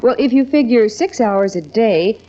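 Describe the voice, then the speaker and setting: Friendly young working woman female actor, lightly teasing, very animated delivery, vintage radio comedy-drama, muffled lo-fi sound. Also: a little high, moderate pace, natural voice. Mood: amused, helpful. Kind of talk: old-time radio comedy-drama acting. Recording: dull old radio recording.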